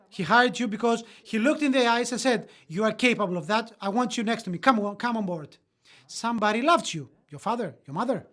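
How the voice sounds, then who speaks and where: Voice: medium pitch, fairly bright tone. Motivational voice-over speaker. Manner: earnest, with hesitant fillers and restarts. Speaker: voice-over interpreter of a motivational webinar. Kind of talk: motivational talk, interpreted into English.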